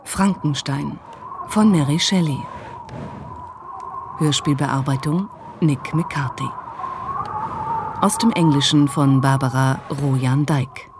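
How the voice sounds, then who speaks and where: Slow, very low-voiced woman announcer. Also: background noise. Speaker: announcer reading credits. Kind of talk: formal scripted radio announcement.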